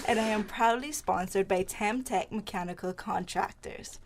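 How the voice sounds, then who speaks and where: A woman's voice: medium pitch, moderate pace, slight background noise. A woman, a pageant contestant, introducing herself on radio in a giggly, friendly way.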